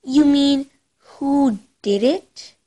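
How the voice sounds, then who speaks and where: A bookish young pony princess , acted by a woman, speaks in a high voice. She is earnest and excitable, giving her lines dramatic emphasis in a cartoon style. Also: drawn-out words. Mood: exasperated. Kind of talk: cartoon character acting.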